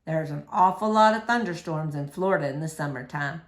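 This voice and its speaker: Woman doing a storybook preacher dad, voice dropped lower, slow, gentle and thoughtful. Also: roomy sound, lightly acted. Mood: matter-of-fact. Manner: slow, deliberate explaining. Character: grown man, gentle preacher father, measured, thoughtful. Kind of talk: children's storybook read-aloud.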